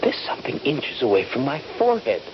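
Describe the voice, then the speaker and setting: An actor playing a trapped, wounded Union soldier, fearful and halting, in a 1970s radio drama. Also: male voice, medium pitch, wildly swooping pitch, in a whisper. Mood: mounting dread. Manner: halting, dramatic, intense delivery. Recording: noisy, dull 1970s radio drama recording.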